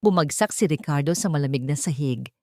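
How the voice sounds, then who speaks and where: A woman narrating a dramatic romance story in a measured, somber reading. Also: deep voice, lively intonation, darkish tone, dry recording, clean studio sound.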